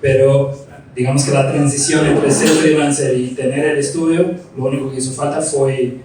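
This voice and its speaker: A man from Brazil with a Brazilian accent mixed with Argentine Spanish, telling his story in a reflective, unhurried way during a casual interview. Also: medium pitch, little pitch movement. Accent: Brazilian Portuguese with Argentine Spanish. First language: Portuguese. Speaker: studio founder, interview guest. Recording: echoey room, distant mic, faint hum.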